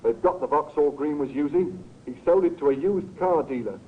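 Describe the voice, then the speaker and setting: An actor playing an efficient police officer giving a brisk, formal report in an old radio drama. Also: male voice, fairly high pitch, slight background noise, natural voice. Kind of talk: 1950s radio drama acting. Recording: tinny old radio recording, music behind.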